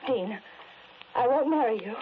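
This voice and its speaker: An actress playing a grieving, desperate widow in a melodramatic 1940s radio drama, intense, moving between hushed murmurs and pleading. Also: female voice, on the high side, expressive, unhurried. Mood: grave, resolute. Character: grieving young widow, desperate and obsessively devoted to her dead husband. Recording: muffled, noisy 1940s radio recording.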